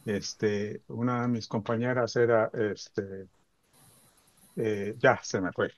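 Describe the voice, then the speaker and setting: An older man from Costa Rica in his seventies introduces himself on a video call. He wanders and pauses often, and the sound is dry and close. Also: lowish voice, steady tone, dry recording. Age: 70s. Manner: rambling, many hesitations. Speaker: seminar participant. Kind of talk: casual self-introduction.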